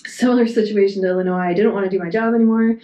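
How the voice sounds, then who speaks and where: A woman's voice: mid-range voice, steady tone, very fast, warm tone, roomy sound. A woman from Massachusetts telling her life story in a casual interview, rambling and matter-of-fact, with plenty of fillers. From Massachusetts, USA. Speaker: Antarctic program support worker.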